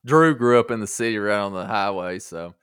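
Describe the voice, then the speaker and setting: A man's voice: on the low side, fairly quick, fairly bright tone, clean tone, dry recording, clean studio sound. A man chatting casually in a folksy, joking way as a podcast host. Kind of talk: casual chat.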